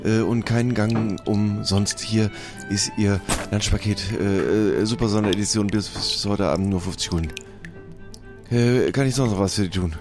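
A man's voice: lowish voice, fairly even intonation, normal speed, music in the background, close to an everyday voice. A man playing a flustered, polite shopkeeper who rambles hesitantly in an improvised audio adventure. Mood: rambling, polite. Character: flustered male shopkeeper eager to close up.

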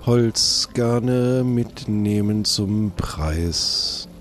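Island merchant, male, acted by a man, very unhurried, formal and businesslike, audio adventure with light music underneath. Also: lowish voice. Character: polite, measured male island shopkeeper, odd and businesslike. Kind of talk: improvised audio adventure acting.

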